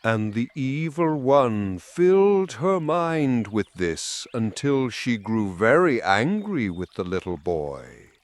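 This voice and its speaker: A man narrating a dark fairy tale in a dramatic storytelling style. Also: a little high, no echo. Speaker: audiobook narrator.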